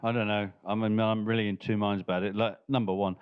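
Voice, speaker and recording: A man's voice: on the low side, little pitch movement, fast talker, smooth, no echo. Brief: a man from Norfolk in England hosting a casual podcast, profane and teasing, recorded dry and lo-fi. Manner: swearing, teasing, laughing often. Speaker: podcast host. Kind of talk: casual chat.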